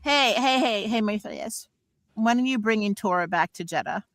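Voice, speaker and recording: A woman's voice: mid-range voice, normal speed, fairly bright tone, slight background noise. A woman voice actor chatting casually about her role on a livestream, hesitant with fillers and easy laughs. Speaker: voice actor in the cast.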